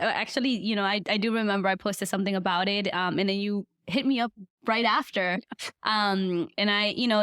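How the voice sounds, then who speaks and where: A woman's voice: medium pitch, fairly bright tone, dry recording, clean studio sound. A woman in her twenties from Guatemala, a podcast guest telling her life story in an earnest, reflective way, with frequent fillers. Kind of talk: conversational life-story interview.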